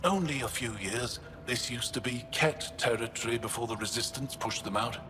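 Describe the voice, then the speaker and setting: An actor playing an upbeat alien male lead researcher who talks in a brisk, matter-of-fact way. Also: mid-range voice, music in the background, natural voice. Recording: echoey game audio with ambience.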